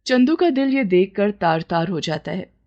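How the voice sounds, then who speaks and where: A woman's voice: mid-range voice, animated, normal speed, darkish tone, lo-fi sound. A woman narrating a scripted moral story as the storyteller.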